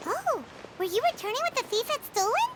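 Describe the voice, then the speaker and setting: A woman voicing a tiny, excitable, naive fairy companion in a video game, with a squeaky childlike voice. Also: a little fast, background noise. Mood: curious. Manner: exclamatory, refers to self in third person. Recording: loud music behind the voice.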